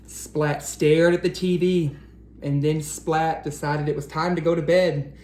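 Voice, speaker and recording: A man hosting a read-aloud for children, speaking directly to them with questions and drawn-out emphasis. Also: a little high, fairly even intonation, darkish tone, slight background noise. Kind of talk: children's picture-book read-aloud and chat.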